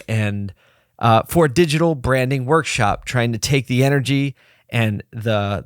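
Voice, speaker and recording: A man hosting a solo podcast from his office desk, slightly hoarse and low on energy, talking in a rambling, candid way and pepping himself up as he goes. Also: no room sound, clean studio sound. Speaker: podcast host. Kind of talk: casual solo podcast talk.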